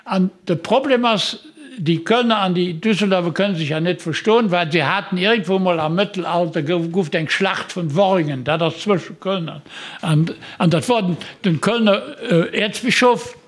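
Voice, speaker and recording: A man's voice: high-pitched, moderate pace. A man, joking and rambling through stories with many fillers. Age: 80s. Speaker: guest. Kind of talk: casual storytelling chat.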